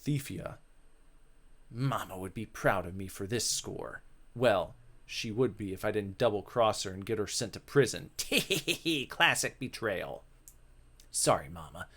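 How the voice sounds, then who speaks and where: A man's voice: fairly low pitch, expressive, a little slow, a bit bright, pitched below the performer's own voice, exaggerated voice. Man as young woman thief, cocky, smug, treacherous, gloating, visual novel villain. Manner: smug self-congratulation.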